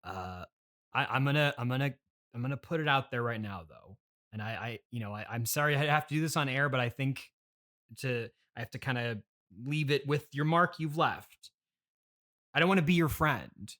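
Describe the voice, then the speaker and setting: Halting, exasperated male podcast host. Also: mid-range voice, fairly bright tone. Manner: halting, exasperated straight man. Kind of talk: improvised comedy interview.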